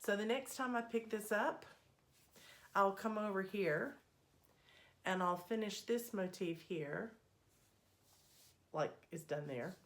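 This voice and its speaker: A woman hosting a needlework vlog, her voice still a little scratchy as it recovers, talking in an unhurried, friendly way with plenty of ums. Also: medium pitch, a bit bright. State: slightly scratchy voice, recovering from a cold. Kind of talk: casual chatty progress update.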